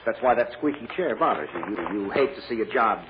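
Male defense attorney, played by an actor: probing, persuasive, widely varied pitch, heard through a muffled old radio recording. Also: lively intonation, lightly acted. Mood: smooth, probing. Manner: measured, pointed, persuasive. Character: shrewd, probing defense lawyer cross-examining a witness. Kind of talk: radio courtroom drama acting. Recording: muffled vintage radio recording with clear noise.

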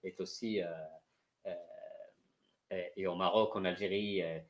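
A man from Morocco, a poet and translator, speaking slowly and haltingly with many restarts at a panel talk before an audience. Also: sits low, flat delivery. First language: Moroccan Arabic. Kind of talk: panel discussion. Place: café venue with audience.

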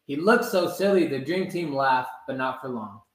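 A man in his twenties reading a children's story aloud, measured, calling out the characters' exclamations. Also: medium pitch, lively intonation, slight background noise. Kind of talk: animated read-aloud storytime. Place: indoor room with blinds, sitting. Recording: roomy echo.

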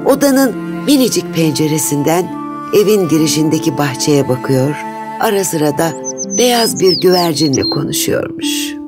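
Low-voiced woman narrating a fairy tale. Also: lively intonation, moderate pace. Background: instrumental music.

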